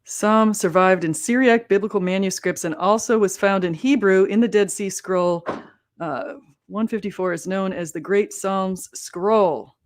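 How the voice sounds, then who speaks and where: A woman's voice: mid-range voice, unhurried. A woman in her forties hosting a casual interview, chatty, laughing often and thinking aloud. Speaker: host.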